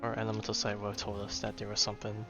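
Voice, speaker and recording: A male game streamer with a fairly low voice. He talks informally, thinking out loud as he plays. Video game music is clearly audible behind him. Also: monotone, very fast. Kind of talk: casual live gameplay commentary.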